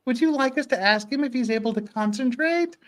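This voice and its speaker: A man hosting a casual comic read-through, a little halting, slipping between reading aloud and jokey asides. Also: extremely high pitch. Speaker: host.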